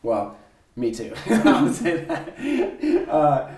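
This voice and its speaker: A man's voice: a little high, wildly swooping pitch, extremely slow, reverberant, a loud crowd. A man hosting an interview podcast, asking loose, rambling questions full of fillers. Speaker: podcast host. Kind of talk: casual interview.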